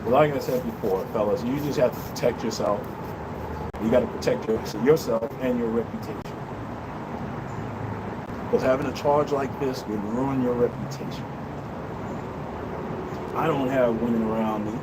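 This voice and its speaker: A man's voice: medium pitch, steady tone, moderate pace, pauses often. A man in his fifties hosting a livestream from a city balcony, giving blunt, preachy opinions straight to his viewers. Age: 50s. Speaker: livestream host. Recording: loud outdoor street noise. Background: city traffic and outdoor noise.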